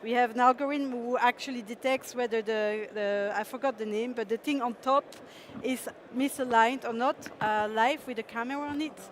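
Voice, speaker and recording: Presenter, woman, higher voice, hesitant with fillers, faint street noise behind. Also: steady tone, normal speed, hardly any pauses. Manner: hesitant, frequent fillers, laughs easily. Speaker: company presenter. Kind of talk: startup pitch presentation.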